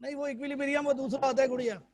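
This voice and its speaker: A hoarse man teaching a chemistry class online, quizzing students and repeating English definitions. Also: extremely high pitch, fairly even intonation, rapid-fire, darkish tone.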